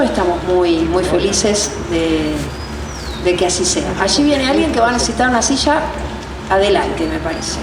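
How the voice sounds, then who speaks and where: A woman with a Rioplatense Argentine accent hosting a live public interview, speaking haltingly as she searches for words. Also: mid-range voice. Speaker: interviewer and director of a community theatre group. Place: outdoors on a city sidewalk. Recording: noisy outdoor recording, echoey, far from mic.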